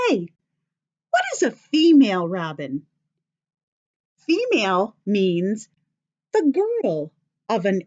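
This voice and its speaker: An American woman reading aloud to young children like a teacher, expressive and sing-song, addressing them with questions. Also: mid-range voice, highs cut off. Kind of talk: children's story read-aloud.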